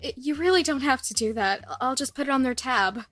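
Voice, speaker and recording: High-pitched, flustered young shop girl acted by a woman. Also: a little fast, fairly bright tone. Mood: grateful, flustered. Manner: stammering, flustered. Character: nervous, apologetic young female candy shop clerk.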